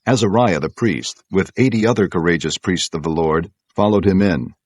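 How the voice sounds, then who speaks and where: A man narrating scripture from a script in a solemn, measured storytelling manner. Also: low voice, darkish tone, no echo.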